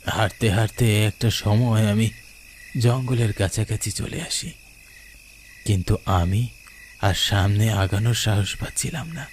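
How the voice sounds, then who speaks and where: Over noisy night ambience with crickets, an older village uncle acted by a man spins a cartoon ghost story. His voice sits fairly low, and he tells it as his own suspenseful memory of youth. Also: fairly even intonation, a little slow, long pauses, lightly acted. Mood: uneasy, suspenseful. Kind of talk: dramatic ghost story narration.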